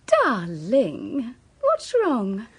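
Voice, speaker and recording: An actress playing a coolly amused, seductive and remorseless murderess in a radio drama, with a silky, mocking delivery. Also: female voice, on the high side, huge pitch swings, very slow, slightly rough, heavily acted. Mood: cold, scornful.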